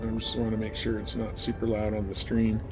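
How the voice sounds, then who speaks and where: A man's voice: lowish voice, completely flat delivery, brisk, over music. A man chatting casually and thinking aloud in a rambling, laid-back way as he live-streams a game. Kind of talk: casual live stream chat over gameplay. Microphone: headset.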